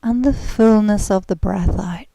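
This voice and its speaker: Female meditation guide: mellow, breathy-soft, soothing, very slow delivery with long pauses. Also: medium pitch, a little hiss or hum. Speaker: guided relaxation and yoga instructor. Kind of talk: guided relaxation, meditative instruction.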